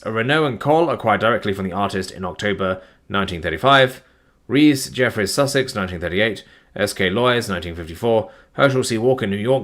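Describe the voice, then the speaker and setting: A tired man, reading long file listings aloud on a live stream in a flat, plodding way, his voice starting to give out. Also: lowish voice. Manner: flat, plodding reading of numbers with weary asides. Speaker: document narrator.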